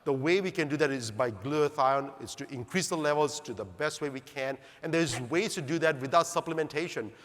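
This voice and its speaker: A middle-aged man from Zambia gives an animated conference talk and keeps asking the crowd rhetorical questions. His voice sits slightly high for a man. The sound has a long hall reverb. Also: fairly quick, hardly any pauses. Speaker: speaker at a longevity conference.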